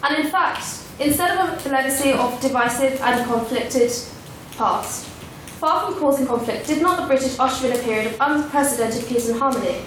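A woman's voice: sits high, moderate pace, a bit bright. A woman closing a debate speech with a string of rhetorical questions, argumentative and formal. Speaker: debate speaker. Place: large echoey debating hall. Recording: echoey hall, distant mic, noisy.

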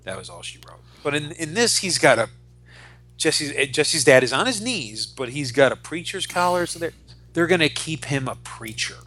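A man's voice: a little high, very animated, moderate pace, bright, forceful tone. A man in his forties co-hosting a podcast, chatting casually and emphatically about pop culture, often repeating phrases as he goes. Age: 40s. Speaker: podcast co-host.

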